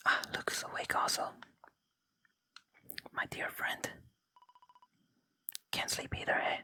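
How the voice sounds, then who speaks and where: A gaming host speaks entirely in a gentle whisper, with a very bright, crisp-edged tone. The delivery is ASMR for a let's play, close to the microphone, moving between reading dialogue aloud and quiet commentary. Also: takes it slowly. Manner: unvoiced, gentle whispering throughout.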